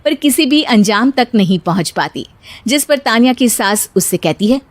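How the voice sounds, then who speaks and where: A woman narrating a cartoon story in a brisk, scripted way. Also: a little high, animated, dry recording.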